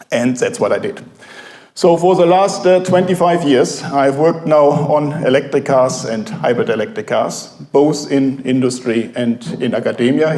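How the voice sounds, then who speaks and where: A German-accented professor giving a halting, informal talk to a café audience, full of fillers. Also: male voice, mid-range voice, warm tone, roomy sound. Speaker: guest speaker, professor.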